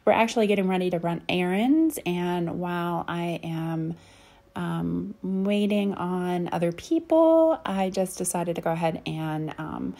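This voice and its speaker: A woman's voice: lowish voice, measured pace, gravelly, dark tone. A woman in her thirties chatting casually over her home cleaning video, rambling with lots of ums. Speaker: cleaning vlogger. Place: home bedroom.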